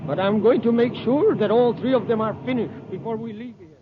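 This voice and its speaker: Ruthless high-pitched space villain. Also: male voice, brisk. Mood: grim, determined. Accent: American. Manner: menacing, dismissive, bossy. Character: ruthless, cold-blooded space villain in charge. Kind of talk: 1950s radio serial acting. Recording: muffled, noisy 1950s radio recording.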